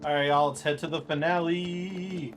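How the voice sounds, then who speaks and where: A man's voice: on the high side, steady tone, normal speed. A man giving casual, explanatory live commentary as he plays through a video game speedrun. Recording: loud game music behind voice. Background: video game music and sounds.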